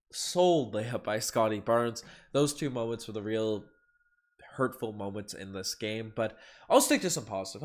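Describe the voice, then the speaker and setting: A stuffed-up man with a cold hosting a casual post-game reaction stream, rambling with frequent restarts. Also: fairly low pitch. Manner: rambling fan talk with many restarts, swings loud and soft. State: congested with a cold. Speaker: sports podcast host.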